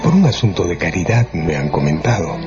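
A man's voice: deep voice, very animated, fairly quick. A man playing a worldly, teasing society journalist with an insinuating, urbane manner in a radio drama. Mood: sly, probing. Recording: dull radio recording, music and crowd.

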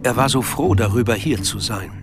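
A man's voice: mid-range voice, expressive, a little fast, over loud music, natural voice. A man narrating a melancholy literary story in an audio drama. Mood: measured narration. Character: male narrator of a melancholy novel.

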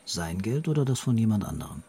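An actor playing a blunt, menacing police detective in a radio play, questioning insistently in a husky voice. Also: male voice, lowish voice, sing-song, fast, dark tone. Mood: probing, sceptical.

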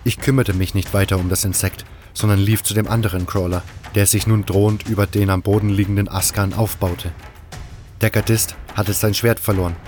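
A man with a fairly low voice narrates a fantasy adventure story in the first person. Music plays under his scripted reading. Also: steady tone, a little fast, darkish tone.

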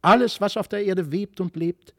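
Man voicing a gentle, wistful fairy child, lifted pitch. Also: moderate pace, no room sound, clean studio sound, lightly acted. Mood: proud, wondering. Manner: earnest, lyrical, storytelling. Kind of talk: literary audiobook reading.

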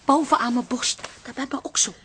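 A woman's voice: sits high, steady tone, fairly quick, a bit bright, a little hiss or hum. An actress playing a stubborn, fretful working-class woman, bluntly defensive, in a naturalistic radio drama. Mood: anxious.

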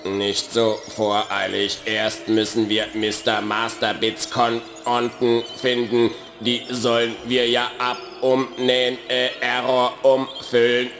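A man's voice: medium pitch, completely flat delivery, slow pace, hardly any pauses, reverberant, music in the background. A man playing a pedantic, scheming computer virus in a children's radio play, stuttering, repeating syllables and correcting himself.

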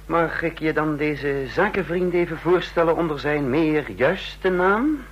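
An actor in an old radio play playing a stern, shrewd intelligence chief, with husky, authoritative, clipped delivery. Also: male voice, a little high, expressive, measured pace, lo-fi sound. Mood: commanding, scornful. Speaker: narrator and lead actor in a radio drama. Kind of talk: vintage Dutch radio play acting.

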